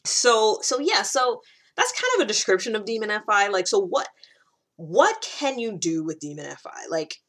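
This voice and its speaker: A woman's voice: mid-range voice, very animated, normal speed, fairly bright tone. A woman giving a casual explanatory monologue, thinking aloud in a conversational way and leaning on key words. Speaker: personality typing vlogger.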